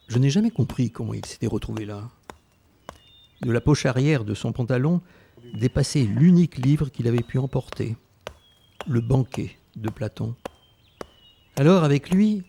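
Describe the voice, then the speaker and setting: A man's voice: medium pitch, vocal fry, warm tone, a little hiss or hum, natural voice. A man playing a plain-spoken survivor who narrates his wartime youth reflectively in an audio drama. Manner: reflective storytelling. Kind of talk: scripted first-person narration in audio drama.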